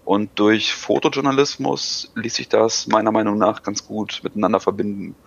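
A man in his thirties speaks with a low, gravelly voice, pausing and filling gaps as he answers questions. The recording sounds dull and lo-fi, completely dry with no room around it. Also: little pitch movement, no echo. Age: 30s. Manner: halting, many filler sounds. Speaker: podcast guest. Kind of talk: casual interview answers.

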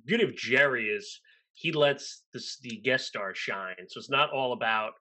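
A man's voice: medium pitch, moderate pace, sharp tone. A man asking questions casually as a podcast co-host. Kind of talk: casual interview chat.

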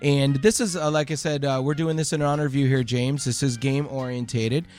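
A man hosting a podcast quiz, explaining casually and haltingly, correcting himself as he goes. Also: mid-range voice, fairly even intonation, darkish tone, soft background music.

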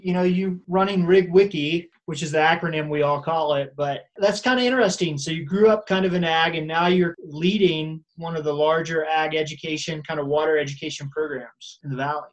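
A man hosting a podcast interview, friendly and quick to laugh along. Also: a little high, rough recording. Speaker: podcast host. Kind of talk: conversational interview.

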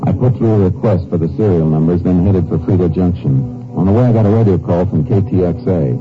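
An actor playing a steady, businesslike Texas Ranger in a 1950s radio drama, terse and matter-of-fact as he talks and narrates. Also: sits low, a little fast, close to an everyday voice. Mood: sober narration. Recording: dull old radio recording with music.

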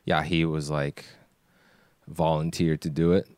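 A man in his twenties from Japan, co-hosting a casual podcast in a relaxed, hesitant way with many fillers. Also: very deep voice, a little slow, dry recording, clean studio sound. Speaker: sound engineer filling in as co-host.

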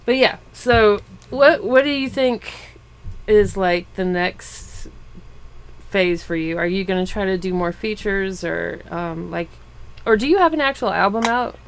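A woman's voice: mid-range voice, measured pace. A woman in her forties interviewing a young rapper in a casual, chatty way, laughing often and trailing off with fillers. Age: 40s. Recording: faint background noise.

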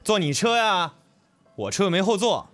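A man's voice: sits high, sing-song, normal speed, fairly bright tone, faint music behind. A male actor playing a curt, sarcastic teenage schoolboy in an audio drama, tossing out terse insults. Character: curt, scornful, cool teenage schoolboy twin. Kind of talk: Chinese audio drama acting.

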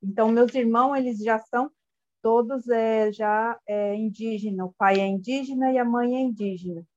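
Soft-spoken woman, lo-fi. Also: fairly high pitch, fairly even intonation, moderate pace. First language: Guarani-Mbyá. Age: 30s. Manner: searching for words, gentle and reflective. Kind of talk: personal testimony. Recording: dull lo-fi audio.

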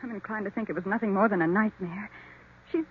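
A woman's voice: mid-range voice, quick pace, slight background noise, close to an everyday voice. A woman playing an earnest, caring young niece, pleading and emotional, in a 1940s style radio mystery. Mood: uneasy. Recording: tinny, hazy vintage radio recording.

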